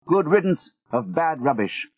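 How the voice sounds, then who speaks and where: A man voicing a pompous, indignant older male artist with a British accent, speaking slowly and emphatically. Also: on the high side, big pitch swings, slightly rough, dry recording, pitched above the performer's own voice, heavily acted. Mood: dismissive. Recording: dry, tinny phone-like sound.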